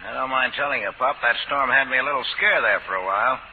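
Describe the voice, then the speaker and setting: An actor playing a boastful, menacing American gangster boss in a 1940s radio drama, talking tough slang and barking threats. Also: male voice, medium pitch, quick pace, narrow, tinny audio, music in the background, lightly acted. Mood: cocky.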